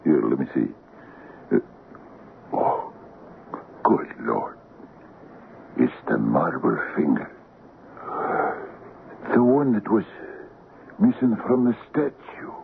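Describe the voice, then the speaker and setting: A man's voice: rumbling low, big pitch swings, takes it slowly, creaky, long pauses, exaggerated voice. An actor playing a burly, sceptical Scottish man with a blunt manner in a 1970s radio drama. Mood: somber, shaken. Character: burly, sceptical, plain-spoken Scottish local man named Duncan. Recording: narrow, noisy 1970s AM radio recording.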